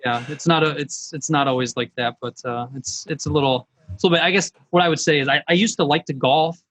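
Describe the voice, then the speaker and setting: Middle aged guy coaching on a webinar, kinda higher voice, chatty and folksy. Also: fast talker, a bit bright, low-quality recording. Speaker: business coach for remodelers, guest presenter. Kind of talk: conversational online presentation.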